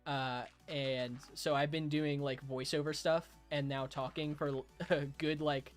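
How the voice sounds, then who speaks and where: A hoarse young man live-streaming a game, chatting casually with viewers, chuckling and thanking them. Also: on the high side, little pitch movement, measured pace, soft background music. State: hoarse, raspy throat.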